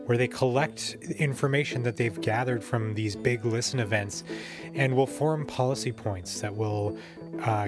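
A man with a Canadian accent giving a reflective, measured, conversational report as a podcast host. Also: mid-range voice. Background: guitar music under the voice.

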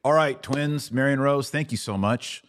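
A man's voice: medium pitch, moderate pace, dry recording, clean studio sound. A middle-aged American man hosting and teaching in a casual live Bible Q&A, with a folksy, informal manner.

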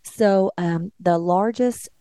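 A middle-aged nurse from Georgia, hosting a monologue show and speaking earnestly and emphatically to her listeners. Her voice has stayed deeper since an illness. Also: takes it slowly, warm tone, no echo. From Georgia, USA. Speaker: nurse and podcast host. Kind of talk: monologue commentary.

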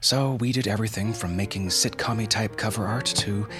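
A man's voice: fairly low pitch, fairly quick, music in the background. A man giving scripted, explanatory narration as a podcast host and producer.

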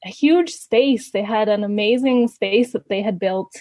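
A woman's voice: medium pitch, a little slow, dark tone, no echo. A woman in her thirties from Canada, chatting as an interview guest, laughing often between fillers and restarts. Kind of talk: casual conversational storytelling.

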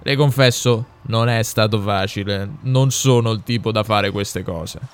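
Courteous old gentleman, acted by a male narrator, weary and subdued, music underneath. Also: on the low side, measured pace, clear, resonant tone, voice pushed down, lightly acted. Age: older. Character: elderly retired male teacher, secretly a chief executive.

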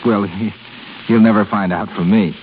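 A man as an earnest, low-voiced younger suitor. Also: steady tone, moderate pace, natural voice. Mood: bewildered, tender. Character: earnest, proud, plain-spoken younger man in love. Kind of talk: 1930s radio acting. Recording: dull, hissy 1930s radio recording.

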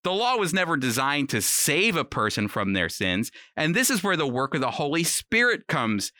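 A man with a bright, forceful voice in a dry studio recording teaches scripture as a radio host. He speaks conversationally but earnestly, repeating phrases for emphasis as he explains. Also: a little high, very animated, normal speed, dry recording. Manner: earnest, emphatic, uses rhetorical repetition.

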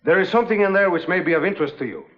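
An actor playing a polite, formal police lieutenant in a vintage drama, speaking deliberately on a dull old recording. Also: male voice, high-pitched, expressive, faint music behind. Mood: calm, courteous. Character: courteous, measured foreign police lieutenant, a man. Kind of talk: old-time radio drama acting.

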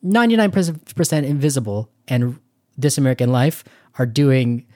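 Middle-aged male podcast co-host, medium pitch, very dry sound, casual delivery with halting, repeated phrasing. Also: expressive, dark tone, dry recording. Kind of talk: casual conversational discussion.

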